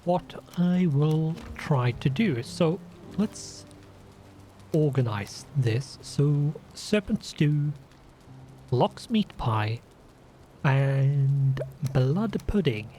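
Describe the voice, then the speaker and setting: A man with a Scandinavian accent, sniffly from a runny nose, talking slowly through a game he plays. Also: fairly high pitch, expressive, mellow, faint music behind. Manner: slow, deliberate, with restarts and fillers. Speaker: gaming channel host. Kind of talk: casual let's play commentary.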